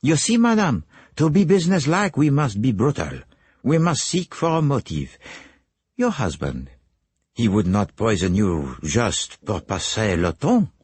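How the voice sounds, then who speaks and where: Narrator playing a polite sleuth, formal and probing, husky, lively delivery. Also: male voice, medium pitch, unhurried, no echo, voice pushed up. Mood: businesslike. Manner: formal, businesslike, probing questions. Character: courteous, precise foreign male detective, middle-aged.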